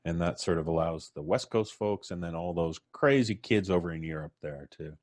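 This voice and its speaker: A man's voice: low voice, a little fast, darkish tone. A man from Canada hosting a casual live stream, introducing guests in a jokey, showy announcer style.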